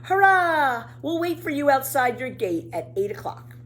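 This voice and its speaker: A woman voicing eager, earnest young schoolchildren in a children's storybook, coaxing and excitable. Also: high voice, a little slow, slight background noise, voice pushed up, full character voice. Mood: excited. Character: eager, persuasive young schoolchildren, a boy and girl.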